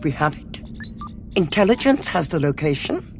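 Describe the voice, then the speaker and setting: An actress playing a brisk, haughty military cardinal in a science fiction audio drama. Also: female voice, low-pitched, big pitch swings, background noise. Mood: businesslike, resolute. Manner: commanding, clipped, haughty. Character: brisk, imperious female alien cardinal, military commander.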